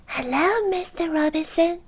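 A woman voicing a tiny, timid little man in a storybook reading, with a small, soft, high voice. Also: measured pace. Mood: small, polite.

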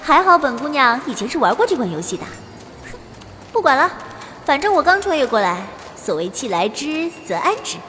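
A woman playing an excitable teenage schoolgirl transported into a fantasy world in an audio drama, exclaiming and talking to herself playfully. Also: sits high, normal speed, background noise. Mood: delighted. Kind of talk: Chinese audio drama acting.

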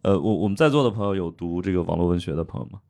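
Host, man, teasing interviewer, medium pitch, very expressive. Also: creaky. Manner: teasing interviewer, quick follow-up questions. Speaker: podcast host. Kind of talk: hosting a live podcast recording.

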